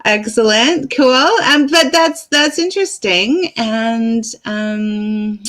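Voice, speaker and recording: A woman's voice: fairly high pitch, expressive, takes it slowly, fairly bright tone. A woman from Canada hosting a casual online chat, upbeat and bubbly with lots of ums. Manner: upbeat, bubbly, hesitant fillers. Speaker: host.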